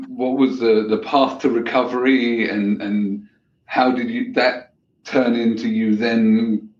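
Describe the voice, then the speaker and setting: A man hosting an interview, speaking in a measured way with frequent restarts. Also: mid-range voice, darkish tone, roomy sound, dull audio. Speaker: host. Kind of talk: interview host.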